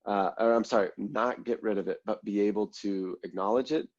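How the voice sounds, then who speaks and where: A man leading an online mindfulness class, congested and a little nasal, explaining breathing steps in a relaxed way with many ums and "right?" check-ins. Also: lowish voice, a little fast, lo-fi sound. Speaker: mindfulness instructor.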